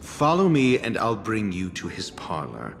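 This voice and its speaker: Low, haughty doctor from a video game, formal and condescending, lots of reverb. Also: male voice, huge pitch swings, unhurried, creaky, exaggerated voice. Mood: haughty, cool. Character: haughty, cold, scholarly male doctor. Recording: long reverb, music behind. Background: game music.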